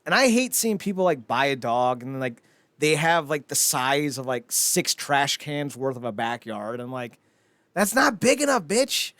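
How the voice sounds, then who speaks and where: Casual male podcast co-host. Very animated intonation, loose rambling delivery with swearing, dry recording. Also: mid-range voice, normal speed, dry recording. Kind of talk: casual pop-culture podcast chat.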